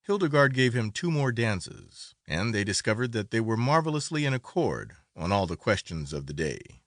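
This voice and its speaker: A man's voice: lowish voice, sing-song, normal speed, a bit bright, no echo, clean studio sound. A man narrating a classic literary story as a dramatic, scripted audiobook reading.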